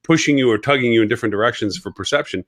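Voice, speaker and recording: A man's voice: medium pitch, racing, no room sound. A man co-hosting a live marketing show, talking casually and repeating words as he reasons things out. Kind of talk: casual live-stream discussion.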